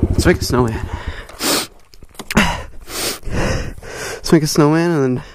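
A young man vlogging outdoors in a snowy field, laughing a lot and excitable, out of breath, recorded on a helmet camera. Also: fairly high pitch, drawn-out words. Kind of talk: casual vlog commentary while riding. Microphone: helmet-mounted action camera. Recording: loud wind and engine noise.

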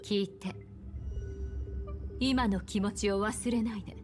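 A woman voicing a calm, enigmatic, seductive woman in an anime game, speaking slowly and soothingly with gentle command. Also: mid-range voice, lightly acted. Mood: gentle, foretelling. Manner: slow, deliberate, soothing. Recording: background music.

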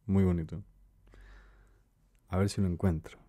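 A man's voice: deep voice, steady tone, a little slow, warm tone. A man in his thirties from Ecuador hosting a podcast interview, friendly, with short prompts and easy laughter, recorded in a small studio. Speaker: podcast host. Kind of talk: casual interview. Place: dim small studio room, indoors. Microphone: studio microphone close up.

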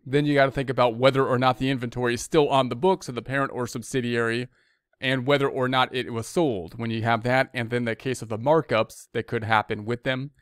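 Crisp, dry-sounding American male instructor. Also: medium pitch, a bit bright, dry recording. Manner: conversational, repeats and rephrases himself. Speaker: accounting instructor. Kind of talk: informal explanatory lecture over slides.

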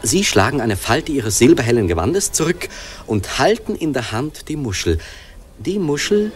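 An actor playing a gushing, brash charmer with an effusive, halting manner in a radio play. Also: male voice, medium pitch, very animated, faint music behind, natural voice. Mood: dreamy recital. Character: gushing, flattering, brash showman courting a nymph. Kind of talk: German radio play acting.

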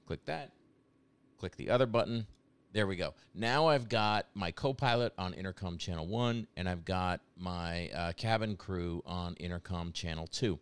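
A man narrating a casual software demonstration in a conversational, explanatory way. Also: low voice, moderate pace, clean tone, no room sound. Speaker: software demo presenter.

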